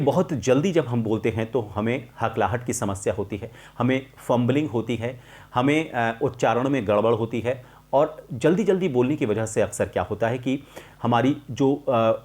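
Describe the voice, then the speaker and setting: A middle-aged man explaining a lesson to viewers as a voice coach, in a deliberate, emphatic manner with clear pauses. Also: mid-range voice, a little mellow. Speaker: voice-over artist and voice coach hosting a tutorial.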